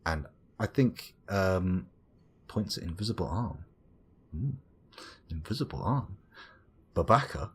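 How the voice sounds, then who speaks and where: A man with an English accent talks over his game to a live chat, wandering from tangent to tangent and poking fun at himself. His voice sits on the low side with a slightly husky edge, and it swoops up and down a lot as he rambles. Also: measured pace, long pauses. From England. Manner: rambling, chatty, self-mocking, lively swings into exclaimed bits. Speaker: gaming streamer reading chat. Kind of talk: casual live-stream chat.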